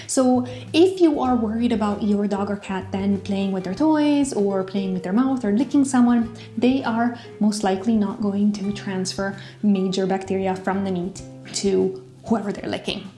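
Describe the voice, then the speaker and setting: A woman's voice: mid-range voice, moderate pace, warm tone. A woman in her thirties giving casual, chatty how-to advice to a camera on a tripod in her home kitchen, with fillers and self-corrections. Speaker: pet care vlogger. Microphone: camera on tripod at a distance. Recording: echoey kitchen with faint music.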